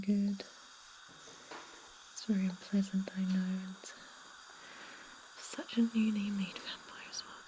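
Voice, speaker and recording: A woman doing a gentle ASMR roleplay as a dentist, mixing breathy whispers with soft, reassuring talk to a patient. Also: a little hiss or hum, low-quality recording. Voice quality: breathy whisper mixed with soft low-volume speech. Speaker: ASMR performer playing a dentist.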